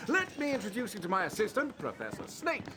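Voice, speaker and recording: An actor playing a showy, theatrical wizard dueling teacher in a video game, pompous, with a British accent. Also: male voice, high-pitched, wildly swooping pitch, fast, background noise, exaggerated voice. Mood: self-satisfied. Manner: pompous, theatrical, presenting to a crowd.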